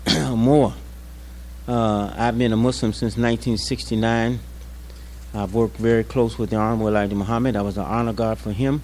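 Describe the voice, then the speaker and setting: A man's voice: fairly low pitch, darkish tone, a little hiss or hum. An older man with an American accent speaks unscripted at a commemorative event, telling personal stories in a plainspoken, folksy way like an imam addressing his community. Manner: plainspoken, folksy storytelling with acted-out quotes. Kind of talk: unscripted public speech with personal anecdotes.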